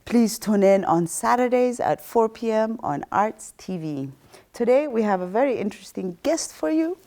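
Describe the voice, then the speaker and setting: A woman's voice: fairly high pitch, expressive, normal speed, dark tone. A woman hosting a talk show interview, friendly and encouraging with her guest.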